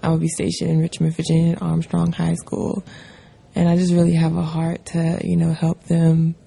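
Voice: mid-range voice, monotone, moderate pace, vocal fry, mellow, husky. A young adult new school advisor speaking in an earnest, hopeful way and saying "you know" often.

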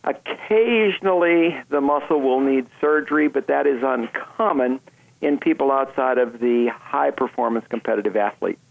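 A man speaking as a doctor on a call-in radio interview, relaxed and plain-spoken with many fillers. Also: mid-range voice, normal speed, gravelly, no echo. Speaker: sports medicine doctor, phone-in guest. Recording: tinny phone line.

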